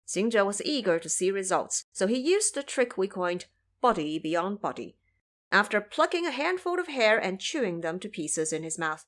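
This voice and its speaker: Animated woman narrating a dramatic tale. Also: medium pitch. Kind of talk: scripted dramatic story narration.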